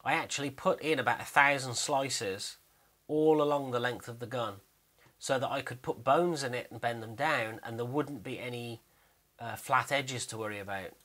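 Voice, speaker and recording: A man with a bright, forward voice at an average pitch talks casually through 3D animation work. He is explanatory and unscripted, pausing to think aloud as he narrates what is on his screen.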